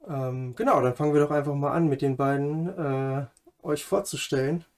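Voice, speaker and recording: A man hosting a conversational podcast, speaking in a hesitant, halting way. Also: fairly high pitch, a little mellow. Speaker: podcast host.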